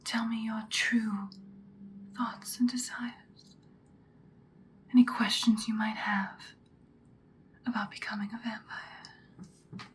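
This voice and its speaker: A woman playing an ancient, powerful female vampire in an ASMR roleplay, whispering breathily in an intimate, calmly menacing, seductive way. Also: on the high side. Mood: calm, instructive. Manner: slow, deliberate, intimate. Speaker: ASMR performer.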